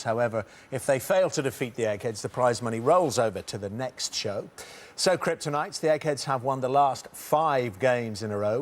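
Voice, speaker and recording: A man hosting a television quiz show, chatty and jokey, with frequent fillers. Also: medium pitch.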